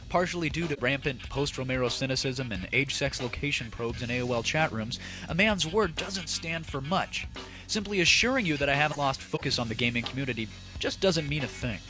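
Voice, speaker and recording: A man's voice: fairly high pitch, fairly bright tone, no room sound, studio quality. A man hosting a gaming audio show with a sarcastic, theatrical, mock-grandiose comic monologue. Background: music.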